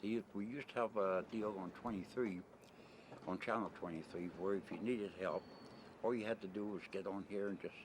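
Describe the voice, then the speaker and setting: Low-voiced older American man, rambling. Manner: rambling, blunt, plain-spoken, mildly profane. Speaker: interviewee, retired merchant seaman. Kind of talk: casual spoken interview. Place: on location at a desert camp, ambient noise. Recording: noisy 1990 amateur videotape sound.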